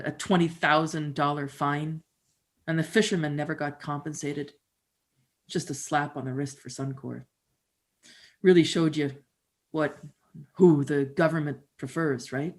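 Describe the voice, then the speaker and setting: Low-voiced woman giving a wry dramatic reading. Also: measured pace. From Canada. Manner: animated, wry, addressing the audience directly. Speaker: musician and performer. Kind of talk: documentary theater dramatic reading.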